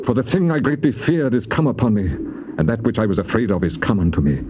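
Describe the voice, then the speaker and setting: A suffering, pious patriarch in a scripture audio drama. His British delivery is declamatory and mournful, rising and falling widely, on a muffled tape with clear hiss. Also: lowish voice, sing-song, quick pace. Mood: anguished. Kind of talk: dramatized scripture reading, British stage acting. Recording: dull hissy cassette tape.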